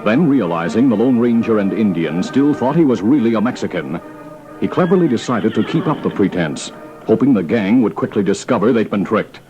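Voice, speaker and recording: A man's voice: fairly low pitch, lively intonation, a little fast, dark tone, muffled recording. Dramatic male radio narrator over music. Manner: dramatic, formal. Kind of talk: scripted old-time radio narration.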